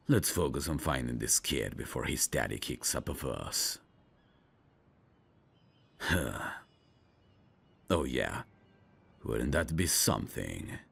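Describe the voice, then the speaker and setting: A man playing a gruff, world-weary veteran police detective in an audio drama, with a husky, gravelly voice and a sardonic manner. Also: very deep voice, exaggerated voice. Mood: sarcastic. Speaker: detective mentoring a rookie. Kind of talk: scripted roleplay audio drama.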